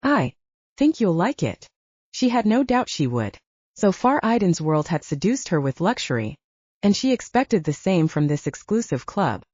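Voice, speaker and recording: A woman's voice: fairly low pitch, mellow, slightly rough, dry recording, dull audio. A woman reading a romance novel aloud as a scripted audiobook narrator.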